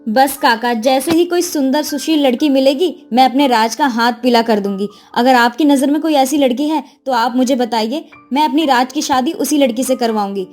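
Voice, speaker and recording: A woman's voice: high voice, moderate pace, hardly any pauses, darkish tone, soft background music, natural voice. A woman voicing an elderly, hardworking village mother-in-law with a nagging, instructive manner in a cartoon moral story. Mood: pleased, pleading. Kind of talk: Hindi animated moral story dialogue.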